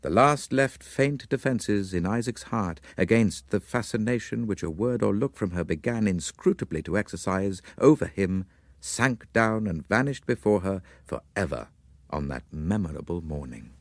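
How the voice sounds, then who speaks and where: A man narrating a literary story in a dramatic, measured and formal manner. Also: on the low side, lively intonation, no room sound, studio quality.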